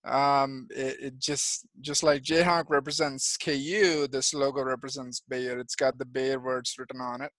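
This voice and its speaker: A man's voice: medium pitch, little pitch movement, a little slow, hardly any pauses, a bit bright, no room sound. Man from India explaining things plainly. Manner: simple explanations with frequent "uh" and restarts. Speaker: guest engineer and project manager. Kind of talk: presentation for young children. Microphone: video call.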